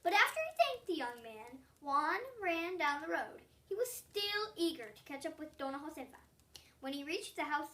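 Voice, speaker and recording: Bright, high-pitched child reading aloud. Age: child, about 8. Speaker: young reader of a story. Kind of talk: storybook read aloud. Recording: distant from the microphone.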